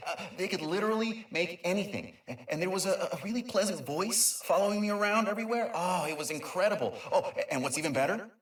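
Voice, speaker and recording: Game role: excitable, nerdy, kind creature inventor, stammering through informal logs; lightly high male voice, echoey and a bit off-mic. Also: fast talker, few pauses, recorded from a distance. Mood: giddy, excited. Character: eager, nerdy, kind male Lombax inventor and dimension mapper. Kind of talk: informal recorded audio log in a video game.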